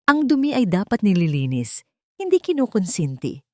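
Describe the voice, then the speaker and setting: A woman's voice: mid-range voice, sing-song, moderate pace, dry recording, studio quality, close to an everyday voice. A woman narrator voicing a haughty, sanctimonious rich villainess speechifying in an audio drama. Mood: proud, disdainful.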